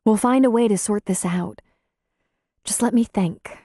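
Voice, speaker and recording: A woman reading the part of a caring, level-headed midwife in an audiobook, gentle, earnest and concerned. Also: medium pitch, measured pace, breathy edge, no room sound, clean studio sound, pitched above the performer's own voice. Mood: gentle, sober. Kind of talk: audiobook character dialogue.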